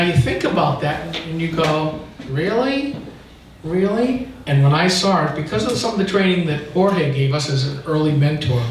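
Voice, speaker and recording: An American man giving an informal talk at a local meeting, telling his story in an emphatic, indignant, explanatory way. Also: fairly high pitch. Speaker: activist speaking at a local political meeting. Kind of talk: informal public talk, recounting an anecdote. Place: indoor meeting room, recorded from a distance. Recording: echoey room, distant mic, faint noise.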